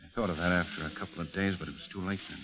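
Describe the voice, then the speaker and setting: An actor plays a wary man in hiding in an old-time radio suspense play. His voice is deep and gruff. He speaks tersely, guarded and suspicious. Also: fairly even intonation, racing, muffled recording, lightly acted.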